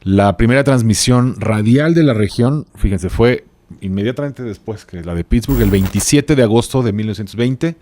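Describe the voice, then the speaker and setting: A man's voice: on the low side, normal speed, warm tone. A man co-presenting a podcast, explaining things in a casual, joking, informal way.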